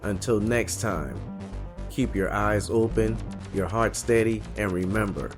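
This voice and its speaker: A man hosting a story show, closing the episode in a slow, dramatic, reflective way. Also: on the low side, music in the background. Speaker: host. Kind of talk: closing monologue.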